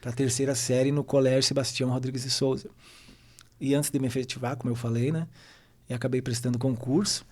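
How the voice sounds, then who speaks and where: Man, middle-aged, relaxed storyteller, podcast guest, conversational. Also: mid-range voice, brisk. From western Santa Catarina, Brazil. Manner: relaxed storytelling, frequent tag questions.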